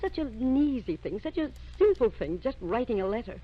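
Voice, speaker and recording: A woman's voice: a little high, sing-song, moderate pace. Insistent, emotional radio-melodrama woman. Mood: reproachful. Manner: dramatic, insistent. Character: emotional, reproachful woman pleading for a dying girl. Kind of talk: old-time radio melodrama acting. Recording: dull vintage radio recording.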